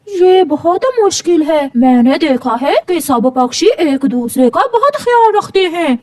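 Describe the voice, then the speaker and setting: Cartoon owl troublemaker, voiced by a woman, persuasive, high and animated. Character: plotting male owl soldier, persuasive troublemaker. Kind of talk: cartoon character acting.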